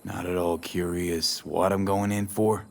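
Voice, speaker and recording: A man's voice: sits low, little pitch movement, unhurried, creaky. A man playing a streetwise mercenary in a dystopian video game, talking in a clipped, casual, dry way. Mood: businesslike. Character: terse, streetwise male mercenary in dystopian future.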